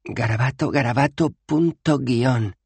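A man's voice: medium pitch, a little slow, gravelly, a little mellow, breathy edge, dry recording, pitched above the performer's own voice. A man reading an audiobook aloud, voicing a bold, curious young woman in a raised, lighter put-on voice.

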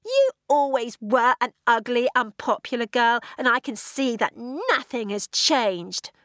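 A woman's voice: on the high side, very animated, measured pace, fairly bright tone, dry recording, studio quality, voice pushed up. A woman voicing a bitter teenage girl trapped inside someone's head, who whines, sneers and breaks into sobs. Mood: sneering. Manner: whining, sneering, sobbing outbursts. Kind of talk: audiobook character voice.

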